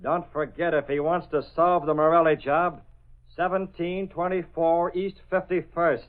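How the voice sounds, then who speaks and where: A man's voice: a little high, little pitch movement, measured pace, lightly acted. Male actor as secret vigilante, insistent, authoritative, terse, old radio adventure serial, narrow lo-fi sound. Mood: urgent, insistent. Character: masked vigilante as insistent anonymous tipster. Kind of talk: 1930s radio drama acting. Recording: muffled old-time radio recording.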